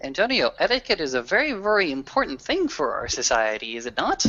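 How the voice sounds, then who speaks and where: A man voicing a courteous, wordy werewolf storyteller who leads the group in a tabletop roleplaying game, formal and somewhat halting. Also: fairly high pitch, wildly swooping pitch, pushed and bright, muffled recording, full character voice. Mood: courteous, reproving. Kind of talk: improvised in-character roleplay.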